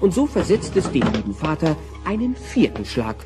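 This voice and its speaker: A man's voice: on the high side, wildly swooping pitch, moderate pace, distant mic. A man narrating a scripted audio drama, with music faintly behind him.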